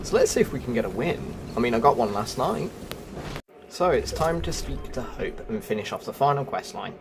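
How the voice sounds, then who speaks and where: A man's voice: medium pitch, sing-song, normal speed, background noise. A man giving casual game walkthrough commentary as a video host, explaining things and thinking aloud.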